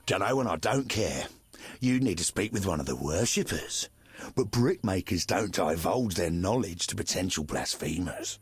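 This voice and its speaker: A gossipy local who looks down on his neighbours. His husky, lowish voice swings widely as he mocks them. The sound is dry and close. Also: animated, moderate pace, no echo, heavily acted. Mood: scornful. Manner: sneering, mocking tone. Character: scornful local man, gossiping and dismissive. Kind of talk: game dialogue.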